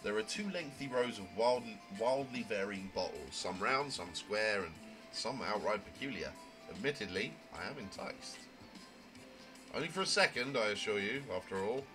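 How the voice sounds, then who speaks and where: A man's voice: on the low side, sing-song, moderate pace. A man voicing a naive, chatty, prim young newcomer who narrates a visual novel in a wordy, rambling way. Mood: observant, prim. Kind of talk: amateur visual novel voice acting, first-person narration. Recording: music under the voice. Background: music.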